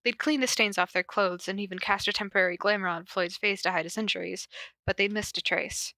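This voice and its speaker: Woman, informal podcast reader and host. Bright, forward voice, dry close sound, giggly, slips easily between story and asides. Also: medium pitch, fairly even intonation, fairly quick, no room sound. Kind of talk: casual cold reading of fan fiction and chat. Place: corner of a basement at home.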